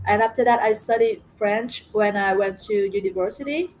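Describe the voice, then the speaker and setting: A middle-aged woman from Vietnam with a Vietnamese accent, telling her story as an interview guest, halting with many fillers and repeated words. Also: medium pitch, a little mellow, slight background noise, rough recording.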